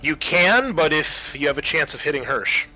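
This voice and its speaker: A man's voice: on the high side, very animated, moderate pace, bright, forceful tone, faint music behind, rough recording. A man acting as game master, narrating a tabletop roleplaying game in a conversational way.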